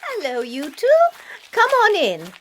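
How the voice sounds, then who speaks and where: A woman's voice: high-pitched, huge pitch swings, unhurried, a bit bright, exaggerated voice. A woman playing a polite, welcoming village lady in a children's audio drama. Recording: faintly noisy old cassette recording.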